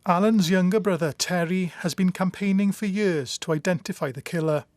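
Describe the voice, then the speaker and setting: High-voiced man narrating news report. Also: normal speed, darkish tone.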